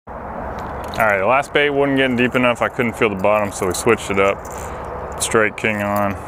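A man's voice: lowish voice, normal speed. Man fishing outdoors, camera mic. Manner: relaxed, talks to camera, excited when landing a fish. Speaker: fishing vlogger. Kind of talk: casual narration while fishing. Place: outdoors by a canal on rocks. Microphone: action camera. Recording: outdoor camera audio with background noise.

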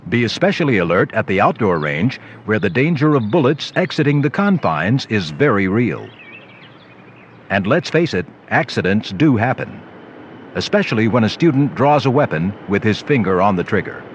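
Low, animated male training film narrator. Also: moderate pace. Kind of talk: scripted instructional narration. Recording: dull lo-fi old videotape with noise.

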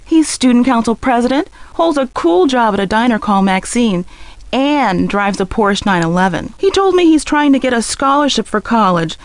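A woman voicing a blunt, defensive and scornful high school girl in a video game, with a sassy, dismissive way of talking. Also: no echo, close to an everyday voice. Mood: confiding.

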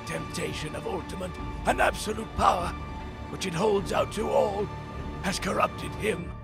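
An actor playing an old, grave and urgent male seer in a fantasy audio drama, with a raspy voice and a portentous, archaic delivery. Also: high-pitched, moderate pace, over music. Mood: ominous. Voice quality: raspy, creaky.

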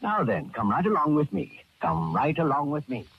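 A man's voice: fairly high pitch, wildly swooping pitch, moderate pace. An actor playing an outwardly genial but sinister old man in an old radio thriller, fussy, hesitant and repeating himself. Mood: slyly soothing. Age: older. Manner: fussy, repetitive, hesitant, chuckling. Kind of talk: 1930s-40s radio thriller acting. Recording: muffled old radio recording with faint noise.

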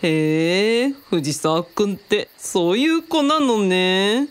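A woman's voice: medium pitch, animated, slow pace. A woman playing a self-assured, worldly female mentor who talks in a teasing, feminine way in a radio drama.

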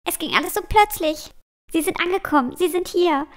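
A woman's voice: extremely high pitch, brisk. A woman playing a panicked, pleading talking speck of light in a fantasy audio drama. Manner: urgent, pleading.

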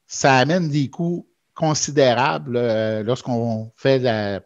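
A man's voice: medium pitch, steady tone, slow pace. A middle-aged man with a Quebec French accent explaining things in casual, somewhat hesitant podcast talk over a headset microphone. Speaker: podcast co-host, product expert. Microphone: headset boom microphone. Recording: dull, lo-fi headset sound.

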